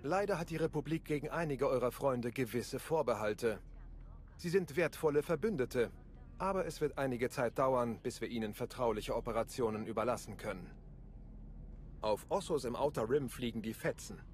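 An actor playing a charming, roguish intelligence agent in a video game, smooth-talking, breezy and flirtatious. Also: male voice, mid-range voice, expressive, moderate pace, slight background noise, lightly acted. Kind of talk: video game cutscene acting.

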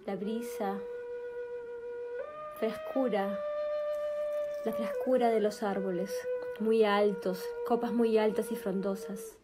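A woman guides a meditation in a gentle, soothing way. Her speech is very slow, laid out in short phrases with space between them, at an average pitch for a woman. Prominent music with flute plays behind her voice.

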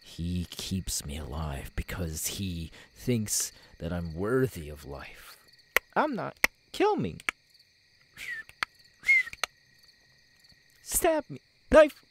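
A man plays a tormented immortal killer in an ASMR audio drama. The voice is very low and rough, with slow, brooding, bitter delivery that swings widely in pitch. He sounds menacing and on the edge of madness. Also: wildly swooping pitch, a little hiss or hum, heavily acted. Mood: bitter, despairing, then frenzied rage. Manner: halting, brooding, bursting into anguished shouts. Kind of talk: ASMR audio roleplay acting.

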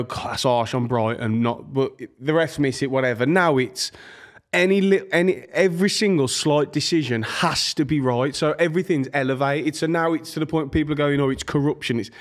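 The fairly high-voiced man at a podcast table who keeps countering his mates' opinions. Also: normal speed. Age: young adult. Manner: argumentative, emphatic. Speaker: football podcast panellist. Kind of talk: casual opinionated debate. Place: small studio, indoors. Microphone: close podcast microphone on table stand.

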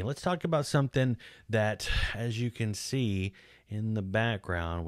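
A man's voice: on the low side, no room sound, clean studio sound. A man in his forties with a Texan accent, chatting casually as a podcast host in a rambling, chatty way with lots of restarts. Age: 40s. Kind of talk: casual solo video commentary. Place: small home studio room. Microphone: boom-mounted studio mic close up.

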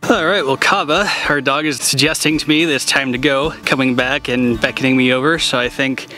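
Guy in his 30s doing a casual outdoor vlog, mid pitch, kinda musing, music behind him. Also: a little fast, distant mic. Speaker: vlogger. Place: outdoors by a river and woods. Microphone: camera mic, at arm's length or set back.

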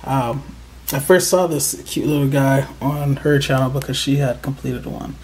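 A crochet channel host in a casual show-and-tell, sounding excited and proud and pausing often with hesitant ums. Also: medium pitch, slight background noise. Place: indoors at a table.

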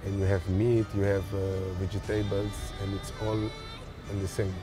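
A young man from Verde, speaking haltingly as an interview guest on an outdoor café terrace. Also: fairly low pitch, little pitch movement, music in the background. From Cape Verde. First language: Cape Verdean Creole. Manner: halting, searching for words. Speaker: footballer guest. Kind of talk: casual interview in non-native English. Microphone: lapel mic.

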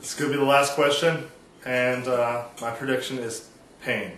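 Halting man fielding press questions. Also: medium pitch, level tone, a bit bright, far from the mic, slight background noise. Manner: halting, hesitant, full of ums. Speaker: head coach at a mock press conference. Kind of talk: comic press conference skit. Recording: echoey room, heard from afar.

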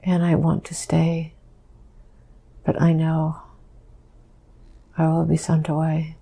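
Hushed whispering woman, low and slow. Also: monotone. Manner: hushed, halting, breathy whisper. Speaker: session subject answering questions. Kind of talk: slow whispered first-person recollection.

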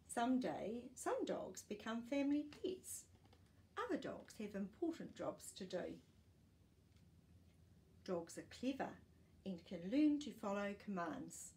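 Slow, animated older woman reading aloud. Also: medium pitch. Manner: careful, simple delivery addressed to young children. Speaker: children's storytime host. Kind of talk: reading a children's non-fiction picture book aloud. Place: small living room, indoors. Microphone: camera a short distance away.

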